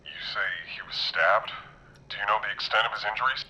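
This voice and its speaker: An actor playing a blunt, gruff former war medic over the telephone in a horror game. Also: male voice, fairly low pitch, a little fast, pushed and bright, rough recording. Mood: grave, resolved. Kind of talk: dramatic horror game voice acting.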